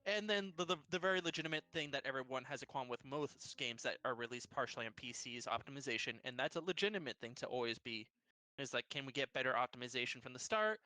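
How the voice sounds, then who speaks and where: A man's voice: mid-range voice, lively intonation, fast talker, pushed and bright, no echo. A man in his thirties with a youthful-sounding voice, rambling in casual chat between tabletop players. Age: 30s. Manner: rambling, many restarts, laughs at himself.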